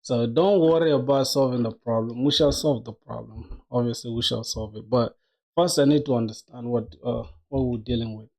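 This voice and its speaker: A man in his twenties with a soft-edged, mellow voice and an average pitch. He talks through code informally, like a streamer answering chat, using plenty of slang and speaking to viewers like close buddies. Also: normal speed. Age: 20s. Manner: slangy, addresses viewers as bro and dog. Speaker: coding livestreamer. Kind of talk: casual live-stream chat while coding.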